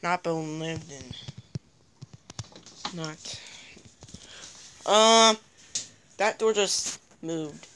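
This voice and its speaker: A woman's voice: fairly low pitch, very slow, long pauses, fairly bright tone, a little hiss or hum. A woman filming a ghost-hunting vlog on a handheld phone inside a dim house, exclaiming and repeating herself as she looks around. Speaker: vlogger exploring a house. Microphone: handheld phone camera.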